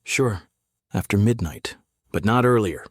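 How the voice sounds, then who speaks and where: Calm, steely small-town sheriff. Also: male voice, fairly low pitch. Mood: quietly menacing. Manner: measured, probing, terse. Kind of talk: noir audio drama interrogation.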